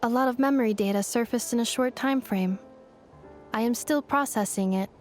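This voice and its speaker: A woman playing a composed, sincere robot in a video game, with formal, precise and measured speech. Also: a little high, faint music behind, close to an everyday voice. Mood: apologetic.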